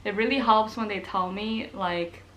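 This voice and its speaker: A woman's voice: mid-range voice, fairly even intonation, moderate pace, a bit bright, poor audio. A young woman from Korea, chatting casually to camera as a nursing student vlogger, with plenty of ums and likes. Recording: faint background noise.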